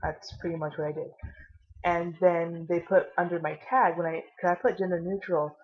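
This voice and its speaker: A tired, caffeinated woman vlogging casually with a low voice, rambling and swearing now and then. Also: steady tone, moderate pace, dull audio.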